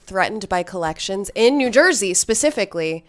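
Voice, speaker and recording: A woman's voice: medium pitch, expressive, moderate pace. Female co-host, blunt emphatic delivery, joking. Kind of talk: casual chat.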